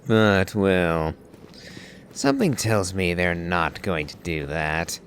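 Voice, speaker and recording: A man giving musing, deliberate commentary on a video game playthrough. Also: low voice, sing-song, a little hiss or hum. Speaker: gaming video creator reading and commenting. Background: game music.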